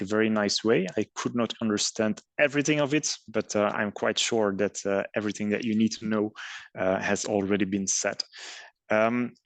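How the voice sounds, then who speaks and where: Low gravelly man giving a hesitant talk. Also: fairly bright tone, no room sound. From Belgium. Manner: hesitant, many ums and uhs. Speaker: design studio head and master's coordinator. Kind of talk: presentation talk with slides.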